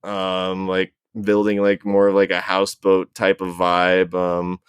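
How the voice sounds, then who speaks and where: Low, smooth-voiced man chatting casually. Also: monotone, dry recording. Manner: halting, filler-heavy with frequent "like". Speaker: podcast panel guest. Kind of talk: casual chat.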